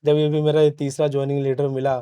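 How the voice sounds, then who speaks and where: Young guy, soft mellow tone, bit high, explaining stuff casually in an interview. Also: monotone, fast, clear, resonant tone. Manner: explanatory, plain-spoken. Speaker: interview guest, successful exam candidate.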